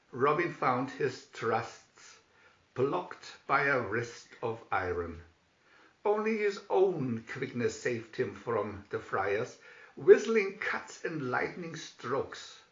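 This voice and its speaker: Male storybook narrator: bright, theatrical, measured pace, slightly dull echoey recording. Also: fairly high pitch, sing-song.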